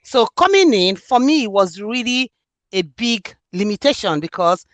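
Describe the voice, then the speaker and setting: A woman's voice: medium pitch, animated, measured pace, no echo. A woman from Nigeria with a Nigerian accent, speaking earnestly and reflectively in an interview about her book. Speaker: author and student. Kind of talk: interview conversation.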